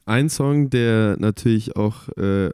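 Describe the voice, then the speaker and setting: Mellow low-voiced young male podcast host. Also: takes it slowly, smooth, no room sound, studio quality. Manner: hesitant, many filler sounds. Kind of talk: casual podcast interview.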